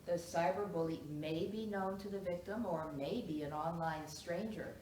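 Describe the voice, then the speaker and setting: Woman as expert-witness psychiatrist, courtroom play: low-pitched, measured, clinical; distant, noisy live-room recording. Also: a little mellow, roomy sound, close to an everyday voice. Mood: firm, assured. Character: formal female psychiatrist testifying as expert witness. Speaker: actor in a one-act play. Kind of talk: stage courtroom drama acting.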